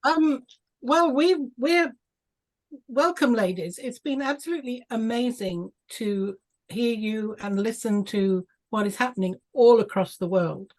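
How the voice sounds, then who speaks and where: A woman's voice: fairly high pitch, a little slow, a little mellow, poor audio. A woman talking casually on a video call, chatty and full of ums and small laughs. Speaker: club member on a video call.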